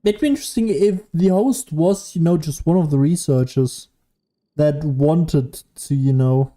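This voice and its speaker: A woman's voice: low voice, measured pace, warm tone. A woman giving casual gameplay commentary, understated and musing to herself as she plays. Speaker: game streamer.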